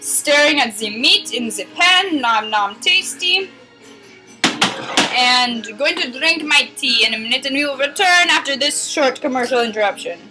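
A woman's voice: high-pitched, expressive, normal speed, rough recording. A young woman playfully hosting a mock cooking show in a home kitchen, giving silly instructions in a mock-formal tone. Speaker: vlogger cooking show host. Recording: home video with loud music behind. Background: rap music playing.

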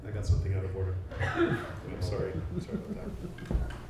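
A man's voice: low voice, huge pitch swings, a little fast, vocal fry, very noisy. A middle-aged man hosting an interview, reading courteous, formal questions from cards. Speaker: interviewer and host. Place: large echoey room, seated on stage. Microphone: handheld mic. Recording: roomy hall sound, mic picked up from a distance.

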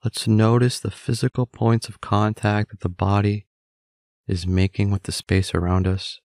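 A man calmly leading a scripted guided meditation in a measured, gently instructive manner. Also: fairly low pitch, no room sound, studio quality.

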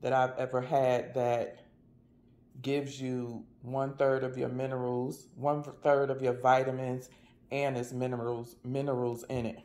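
A man's voice: mid-range voice, a little mellow. A man with an American accent chatting casually in a folksy, colloquial way, as a vlogger reviewing products.

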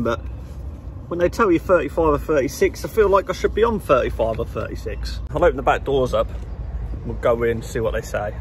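A man in his thirties with an English accent, chatting casually and excitably as a trucker vlogging from his cab. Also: medium pitch. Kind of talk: casual vlog chat to camera. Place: lorry cab and loading yard. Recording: clear vehicle and outdoor noise.

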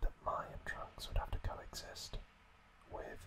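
Whispering man, ASMR host. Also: unhurried. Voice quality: breathy, unvoiced whisper. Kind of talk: whispered ASMR recap narration. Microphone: close microphone.

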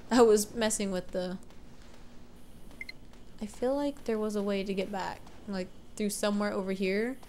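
A woman's voice: mid-range voice, fairly even intonation, normal speed, long pauses, a little mellow, a little hiss or hum. A young woman commentating a video game casually, congested from allergies, rambling and correcting herself often. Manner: rambling, self-correcting, unsure. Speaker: let's play gamer.

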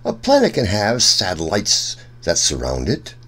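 A man reading a rhyming children's picture book aloud in an expressive, sing-song storyteller's way. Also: fairly low pitch, a little slow. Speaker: children's story reader, host.

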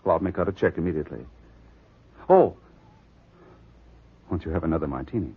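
An actor playing a suave, formal man, a detective's client in a 1940s radio drama, speaking in measured phrases. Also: low-pitched, expressive, exaggerated voice. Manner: measured, formal, smooth-talking. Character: suave, flirtatious, uneasy wealthy male client. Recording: muffled 1940s radio recording.

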